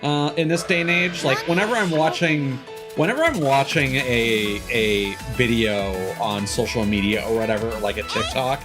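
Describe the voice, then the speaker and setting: A man's voice: medium pitch, expressive, moderate pace. A man chatting casually as he streams a game, rambling into sarcastic, exasperated rants. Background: game music.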